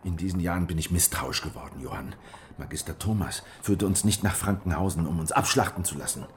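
An actor playing a weary, distrustful survivor of a crushed peasant revolt in a German radio drama, brooding and bitter. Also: male voice, deep voice, brisk, a little hiss or hum, close to an everyday voice. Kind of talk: German radio drama dialogue and first-person narration. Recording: music behind the voice.

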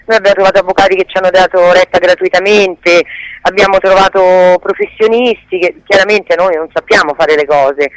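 A woman's voice: mid-range voice, a bit bright, no room sound, rough recording. Earnest woman on radio. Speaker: guest, actress and activist. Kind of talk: live radio phone interview.

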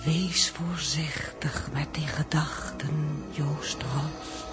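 A performer voicing an enigmatic living planet in a slow, whispery, oracular way in a 1960s radio drama. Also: medium pitch, fairly even intonation. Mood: solemn warning. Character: soft, enigmatic living planet speaking to an astronaut. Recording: 1960s radio recording with music.

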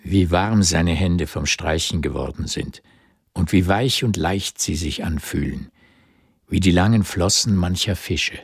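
A man narrating a literary radio drama in a measured, intimate way with a gravelly, husky voice. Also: sits low, no room sound, clean studio sound, heavily acted.

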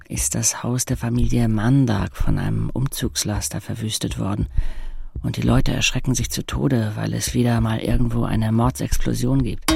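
Gravelly, laconic teen narrator for radio play, wry and sardonic, music underneath. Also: male voice, medium pitch, darkish tone, no echo, studio quality, exaggerated voice. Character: sardonic fourteen-year-old paralysed boy narrating his village life. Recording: music behind the voice.

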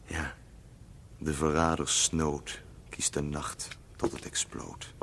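An actor playing a lonely lovesick young poet in a radio play, speaking rhymed verse in a measured, mournful way. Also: male voice, extremely low pitch, level tone, background noise, full character voice. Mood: solemn. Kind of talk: 1970s radio drama verse recitation.

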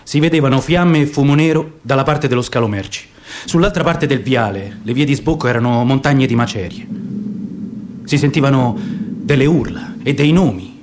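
A man with an Italian accent narrating a literary radio drama in a measured, dramatic reading that lingers on details. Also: mid-range voice, darkish tone, slight background noise. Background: guitar music.

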